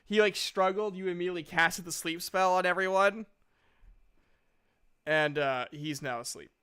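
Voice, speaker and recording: A man with a clean, resonant voice pitched a little above average runs a tabletop roleplaying game. He talks casually and conversationally as game master, describing what happens and handing out turns. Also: normal speed, sharp tone, clean tone.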